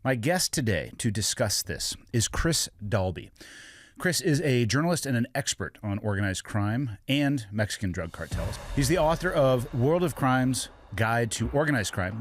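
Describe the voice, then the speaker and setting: A man hosting a podcast, reading a steady, deliberate introduction and then asking questions in a relaxed way. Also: medium pitch, slight background noise. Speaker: podcast host.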